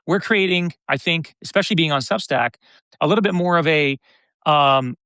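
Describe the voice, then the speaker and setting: Bright, clear-voiced middle-aged American man. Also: on the high side, normal speed, no room sound. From United States (Detroit). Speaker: podcast guest, content creator. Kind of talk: casual conversational interview.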